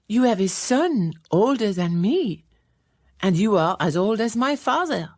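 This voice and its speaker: A woman's voice: medium pitch, big pitch swings, unhurried, a little mellow, no room sound, clean studio sound, pitched above the performer's own voice. A woman narrator voicing a confident, playful young Victorian society lady with poised, emphatic delivery, as in an audiobook. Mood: amused, frank.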